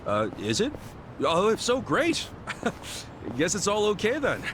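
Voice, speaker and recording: A man's voice: high voice, huge pitch swings, slow pace, background noise, exaggerated voice. An actor playing an upbeat, trusting, easily flustered male adventurer in an English video game dub. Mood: sheepish. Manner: eager, stammering when flustered. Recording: music behind dialogue.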